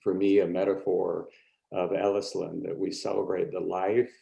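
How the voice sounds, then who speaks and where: Deep, gravelly American man. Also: level tone, moderate pace, dark tone, rough recording. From California, United States. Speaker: board trustee. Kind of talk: informal self-introduction at an online meeting.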